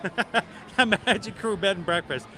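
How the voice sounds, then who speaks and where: A man's voice: sits high, moderate pace, background noise. A man hosting a casual livestream, chatting and joking with viewers as he walks an outdoor theme park; he stumbles and repeats words now and then. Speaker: livestream host. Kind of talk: casual live-stream chat with viewers.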